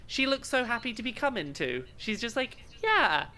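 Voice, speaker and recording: Woman, podcast co-host, bright forceful tone, chatty and amused, some background noise. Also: on the high side, very animated, normal speed. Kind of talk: casual podcast chat, commentary.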